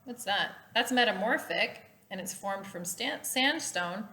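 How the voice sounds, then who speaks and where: A woman reading a children's storybook aloud as a teacher, with clear emphasis, explanatory asides and questions to listeners. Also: medium pitch, animated, a little slow, sharp tone.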